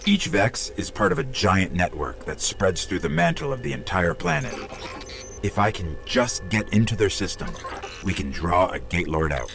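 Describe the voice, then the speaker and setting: A man's voice: sits low, sing-song, normal speed, vocal fry, full character voice. A man voicing a small robot companion in a game, earnest and wry as he explains and guides. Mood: earnest, explaining. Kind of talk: scripted game dialogue.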